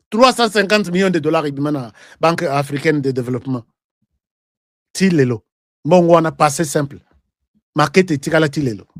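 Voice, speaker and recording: High-voiced Congolese man, argumentative political commentator. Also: dry recording, studio quality. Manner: animated, argumentative, rhetorical questions and repetition. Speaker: online political show host. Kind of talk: live political commentary to viewers, mixing French and Lingala.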